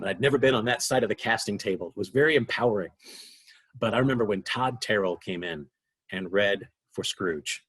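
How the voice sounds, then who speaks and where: Husky low-voiced man, earnest and reflective. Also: a bit bright, no echo. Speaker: interviewee, radio drama executive producer. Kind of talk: reflective interview recollection.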